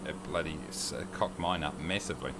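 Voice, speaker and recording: Deep-voiced New man chatting on stream. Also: fairly quick, noisy background. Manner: chatty and joking, reads viewer comments aloud. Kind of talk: casual livestream chat while flying a sim.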